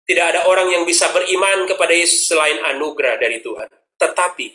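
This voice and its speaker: A man's voice: a little high, expressive, fast, sharp tone. A male preacher, preaching emphatically with stories and rhetorical questions. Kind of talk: sermon. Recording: roomy, echoey hall.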